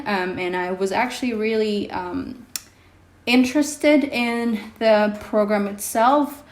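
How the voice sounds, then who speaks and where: Slow, hesitant woman vlogger, Indonesian native. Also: mid-range voice. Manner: hesitant, many ums, reflective. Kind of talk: casual vlog talk to camera. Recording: echoey room with faint music.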